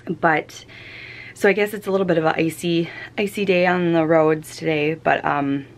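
Low-voiced young woman, chatty vlogger. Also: steady tone, normal speed. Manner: chatty, frequent ums and restarts. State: tired, fighting off a cold. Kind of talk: casual chat. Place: home, small room. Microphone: camera close up.

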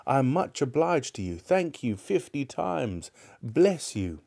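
A man puts on an old miser bursting with joy, his voice lifted high above its usual low register and tumbling out eagerly. The tone stays warm and mellow, with a breathless, giddy delight in every word. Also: a little slow, gravelly, full character voice. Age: older. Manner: excited, eager, breathless warmth. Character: overjoyed elderly miser turned generous man.

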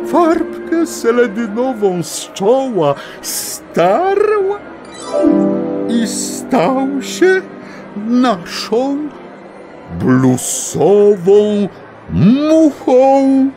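Wildly expressive storyteller. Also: male voice, high-pitched, full character voice. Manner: dramatic, savoring delivery with pauses. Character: whimsical male storyteller telling a children's fable. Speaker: storyteller narrator. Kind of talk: theatrical children's audio tale narration.

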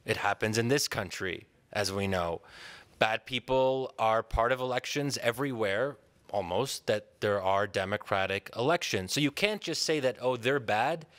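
A man in his thirties from Pennsylvania with an American accent, giving a measured, thoughtful conference talk at a podium in a large hall, pausing often with ums and restarts. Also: fairly low pitch, a bit bright. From Pennsylvania, USA. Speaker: conference speaker. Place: large hall with a seated audience. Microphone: podium microphone.

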